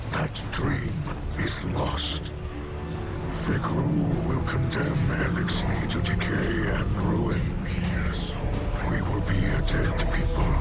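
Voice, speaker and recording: An actor playing a weary, noble old alien leader in a video game, with a whispery rasp and formal, oratorical speech. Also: male voice, on the low side. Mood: mournful. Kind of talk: dramatic video game cutscene acting. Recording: loud music under the voice.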